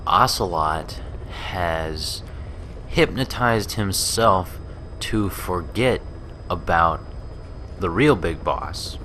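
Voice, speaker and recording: A man streaming a video game in a low, bright, forceful voice. He comments casually and very haltingly, thinking aloud at a slow pace. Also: expressive, a little hiss or hum, low-quality recording. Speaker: gaming streamer.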